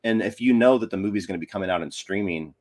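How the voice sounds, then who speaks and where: A man's voice: low-pitched, fast talker, darkish tone. A man talking casually about films as a guest on a podcast. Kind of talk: casual film discussion.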